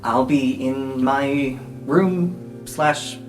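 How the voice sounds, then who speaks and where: A man's voice: mid-range voice, very slow, voice pushed up, poor audio. A man voicing a kindly, awkward middle-aged guardian in a tabletop game, speaking hesitantly and earnestly. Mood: earnest, grateful. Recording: guitar music under the voice.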